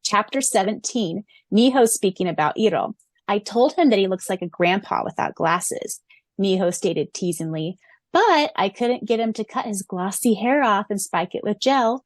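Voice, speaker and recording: Gushing, excitable woman podcaster. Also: mid-range voice, normal speed, no echo. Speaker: podcast co-host and audiobook narrator. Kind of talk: casual fan podcast chat.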